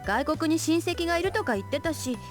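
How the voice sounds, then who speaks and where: A woman's voice: high voice, lively intonation, racing, over music. A woman playing a cheerful, bossy big-sister teacher in a Japanese visual novel. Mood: resigned.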